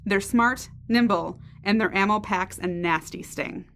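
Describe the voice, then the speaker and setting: A woman's voice: mid-range voice, measured pace, fairly bright tone. A woman in an audio drama playing a tough, self-assured soldier with a dry, blunt way of talking. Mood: dry, confident.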